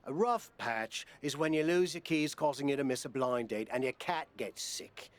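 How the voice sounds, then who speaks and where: A man's voice: mid-range voice, normal speed, close to an everyday voice. A man playing a blunt, supportive British wrestling promoter and mentor in a video game cut scene, with a wry manner. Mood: firm, concerned.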